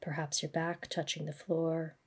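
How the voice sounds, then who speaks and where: Husky, low-voiced woman guiding meditation. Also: level tone. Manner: gentle, measured, instructional. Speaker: mindfulness practice guide. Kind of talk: guided body scan meditation narration.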